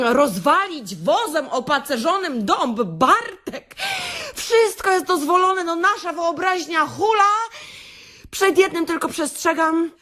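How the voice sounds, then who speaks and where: A woman playing a frank, bossy beautician in an audio play monologue, confiding and wry, with theatrical pauses and an earthy, tender streak. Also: sits high, a bit bright, full character voice. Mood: playful, instructing. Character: frank, bossy female beautician parodying a self-help workshop leader, tender and earthy.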